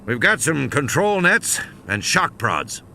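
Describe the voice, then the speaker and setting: A man's voice: on the high side, very animated, takes it slowly, creaky, pushed and bright, slight background noise, pitched above the performer's own voice, exaggerated voice. A man voicing a practical male game warden in an audiobook, matter-of-fact and explanatory. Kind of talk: audiobook character dialogue.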